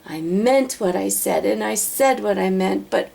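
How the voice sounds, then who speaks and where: A woman's voice: medium pitch, a little slow, vocal fry, dark tone. A woman reading a children's storybook, voicing a faithful, long-suffering male elephant in an earnest, plaintive way. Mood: weary resolve. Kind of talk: children's storybook read-aloud.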